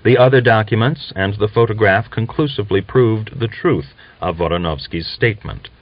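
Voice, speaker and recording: A man's voice: lowish voice, moderate pace, no room sound. A man giving a scripted literary narration as an audiobook reader. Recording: dull lo-fi audiobook recording.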